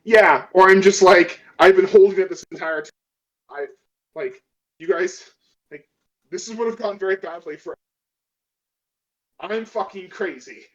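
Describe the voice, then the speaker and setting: A man's voice: high-pitched, unhurried, pauses often, a bit bright, low-quality recording. A man playing a tabletop role-playing game, casually improvising what his character does in a rambling, profane way.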